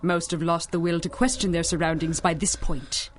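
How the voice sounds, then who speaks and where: A woman's voice: on the low side, steady tone, moderate pace, slight background noise, close to an everyday voice. An actress playing a genteel but sinister middle-aged woman chocolatier in a radio drama, purring and condescending. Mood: silkily menacing. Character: sinister older woman chocolatier, silky, menacing. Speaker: chocolate shop owner. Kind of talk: 1930s-style radio drama acting.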